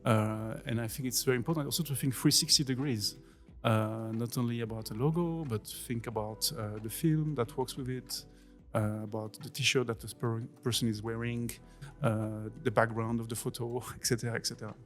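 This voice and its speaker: A Swiss man in his forties, a visiting design teacher, answers interview questions casually with many pauses and fillers. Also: mid-range voice, faint music behind. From Switzerland. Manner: hesitant, many fillers, non-native phrasing. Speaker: guest workshop teacher.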